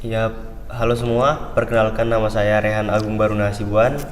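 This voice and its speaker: A teenage boy, a student guest, telling his story in a plain, measured way. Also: on the low side, level tone, slight background noise. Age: teens. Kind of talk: casual interview answers. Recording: echoey room with reverb.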